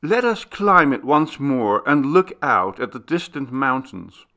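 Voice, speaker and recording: A man voicing a devoted, gentle young woman in an audiobook story, earnest and exclamatory. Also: mid-range voice, sing-song, unhurried, pitched above the performer's own voice, heavily acted. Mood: eager.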